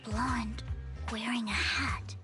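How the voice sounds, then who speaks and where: A woman playing a composed, polite young investigator in a video game, speaking in a measured, thoughtful way. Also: on the high side, fairly even intonation, sharp tone. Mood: pensive. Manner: measured, pensive. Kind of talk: scripted video game dialogue. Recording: music playing behind.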